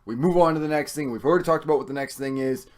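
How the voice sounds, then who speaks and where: A hoarse man hosting his own video channel, talking in a rambling, excitable way full of swearing. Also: fairly high pitch, rapid-fire. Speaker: host. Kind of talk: casual video rant.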